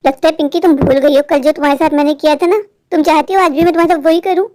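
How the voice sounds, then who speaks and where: Lady playing a mean sneaky stepmom in a cartoon, high voice, smug and sarcastic, dry close sound. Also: steady tone, rapid-fire, mellow, dry recording, lightly acted. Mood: menacing, scornful. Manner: sarcastic, self-satisfied, dismissive. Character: cruel, scheming, deceitful young stepmother. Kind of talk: melodramatic Hindi cartoon moral story.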